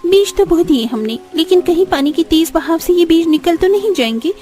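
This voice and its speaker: A woman's voice: extremely high pitch, animated, moderate pace, dark tone. A woman voicing an earnest, hardworking village daughter-in-law in a cartoon story, with music behind her. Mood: concerned, practical.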